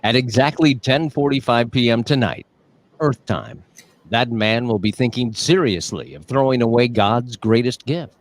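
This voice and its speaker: A man's voice: mid-range voice, normal speed, lightly acted. A man playing an authoritative senior angel giving grave orders in a radio play. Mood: grave, commanding. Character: commanding senior angel, superintendent of heaven. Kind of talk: live radio play acting.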